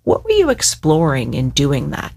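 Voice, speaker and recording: Low-voiced woman interviewer. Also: huge pitch swings, moderate pace, vocal fry, a little mellow. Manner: engaged, probing questions. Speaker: interviewer host. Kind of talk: radio-style interview.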